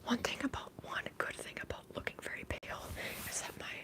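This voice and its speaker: A woman streaming ASMR, whispering very close to the microphone in a breathy voice as she chats softly to viewers and repeats soothing trigger words. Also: brisk. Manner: soft, repetitive trigger words.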